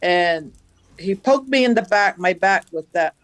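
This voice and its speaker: Loud-voiced woman telling a story. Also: on the low side, measured pace, dry recording. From Navajo Nation, US. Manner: animated, acts out the dialogue she recounts. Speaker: farmer, guest. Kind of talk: recounting events in an interview. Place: studio.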